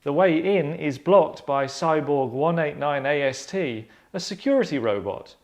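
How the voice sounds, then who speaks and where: A man's voice: a little high, animated, a little slow. Man, earnest and rambling. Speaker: scriptwriter reading stage directions. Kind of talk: narration and casual discussion. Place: furnished room indoors.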